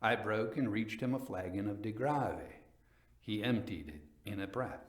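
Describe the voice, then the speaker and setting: A man reading literary fiction as a dramatic storyteller, in a measured, theatrical manner. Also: on the low side, echoey room.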